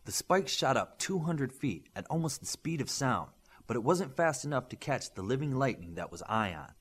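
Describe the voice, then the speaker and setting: A man's voice: medium pitch, big pitch swings, moderate pace, breathy edge. Male audiobook narrator for action adventure fiction, mid-range voice with very varied intonation. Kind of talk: scripted narration of an adventure story.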